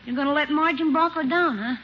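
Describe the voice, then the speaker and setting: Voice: high voice, fast, natural voice. An actor playing a cheeky, wisecracking young boy with blunt, slangy talk in a 1950s radio comedy. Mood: needling. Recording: muffled, noisy vintage radio recording.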